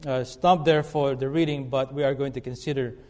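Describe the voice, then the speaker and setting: Preacher in a reverberant church. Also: male voice, medium pitch, fairly even intonation, a little mellow. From Philippines. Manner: earnest, halting with fillers and restarts. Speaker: guest preacher. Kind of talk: sermon. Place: church sanctuary. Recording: long reverb of a church hall.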